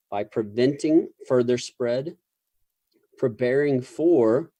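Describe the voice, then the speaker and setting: A man giving a formal government presentation as a public official, in measured, bureaucratic and slightly hesitant phrasing. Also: fairly low pitch, warm tone, dry recording.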